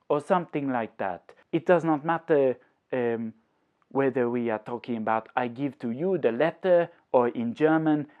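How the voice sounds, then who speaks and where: A language tutor giving a video lesson, explaining didactically, repeating points, checking in often and dropping words into English. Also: medium pitch. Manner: checks in with "okay?" and "you know". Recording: thin, narrow phone-like sound.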